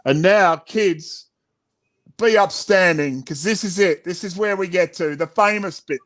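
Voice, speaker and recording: A man's voice: high voice, a little slow, fairly bright tone. A middle-aged Australian man, a children's author, reading and chatting in a playful, theatrical way. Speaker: children's author reading his own book. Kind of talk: animated picture-book read-aloud and chat.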